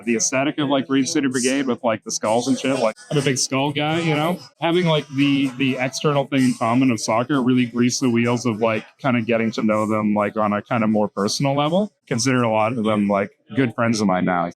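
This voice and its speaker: Man, casual interview, mid pitch, lots of "like" fillers. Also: fairly quick, hardly any pauses, a crowd in the background, rough recording. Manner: casual, peppered with "like". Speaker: longtime season ticket holder. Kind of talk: documentary interview.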